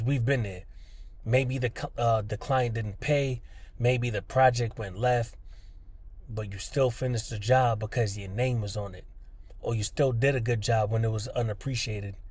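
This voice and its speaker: A man's voice: mid-range voice, a little slow, darkish tone, slightly rough. A man hosting a motivational podcast in a conversational, earnest, plainspoken way, speaking directly and emphatically to the listener. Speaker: podcast host, freelancer.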